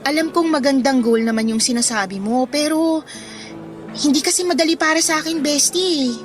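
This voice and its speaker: A woman playing a high-voiced, modest Filipino schoolgirl. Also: normal speed, lightly acted. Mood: defensive, pleading. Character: modest, self-doubting teenage schoolgirl, loyal best friend. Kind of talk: Filipino radio drama acting. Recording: music under the dialogue.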